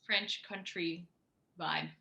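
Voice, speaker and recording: A woman's voice: on the low side, steady tone, slow pace, bright, forceful tone. A woman hosting a conversational interview in a friendly, attentive manner. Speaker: podcast host, interviewer.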